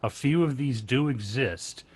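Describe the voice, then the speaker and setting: A man's voice: medium pitch, unhurried, a little mellow, slightly rough. An American man moderating a panel, casually chatting and reading out audience questions.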